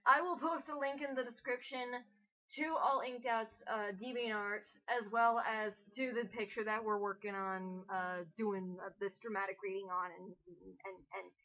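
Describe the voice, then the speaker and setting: A woman's voice: medium pitch, fairly even intonation, normal speed, fairly bright tone. A woman hosting a casual, mocking commentary, jokey and exasperated, with frequent swearing. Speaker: host of a dramatic reading commentary. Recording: dull lo-fi home recording.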